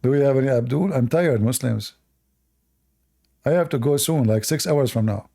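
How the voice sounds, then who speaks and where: A man's voice: mid-range voice, moderate pace, vocal fry, mellow. A man hosting a live-stream debate, talking to the chat in a sarcastic, mocking way. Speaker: livestream debate host.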